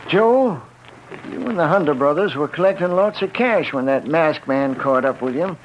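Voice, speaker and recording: An actor playing a friendly, musing frontier gunsmith with folksy talk in a vintage radio western. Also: male voice, fairly high pitch, lively intonation, normal speed, close to an everyday voice. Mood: folksy, curious. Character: friendly folksy old-West gunsmith, a curious man. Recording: muffled, slightly noisy vintage radio recording.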